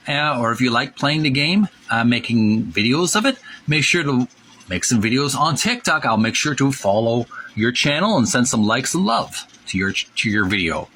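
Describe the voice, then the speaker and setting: A man hosting informal video commentary, halting as he goes and drawing out key words for emphasis. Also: medium pitch, pushed and bright, breathy edge. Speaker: host of a retro gaming channel. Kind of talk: informal video commentary with read-aloud passages.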